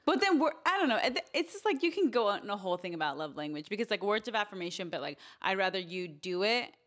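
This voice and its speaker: A woman's voice: mid-range voice, big pitch swings, fast, a bit bright. A young adult woman co-hosting a podcast in casual conversation with a friend, speaking into a close handheld mic. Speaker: podcast co-host. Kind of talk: casual chat between friends. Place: small bedroom, sitting on a bed. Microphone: handheld microphone held close.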